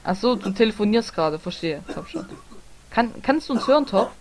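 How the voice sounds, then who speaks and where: A woman's voice: medium pitch, lively intonation, fairly quick, a little hiss or hum. A young woman chatting casually while playing a game with friends, teasing them, laughing often and swearing lightly. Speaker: Let's Play gamer. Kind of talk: casual gaming chat.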